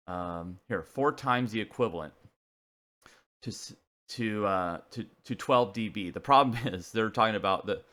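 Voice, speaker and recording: A man giving an informal technical explanation, talking haltingly as he thinks aloud. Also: lowish voice, fairly bright tone. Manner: casual, halting, thinking aloud while working through figures. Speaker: presenter of a CB radio technical video.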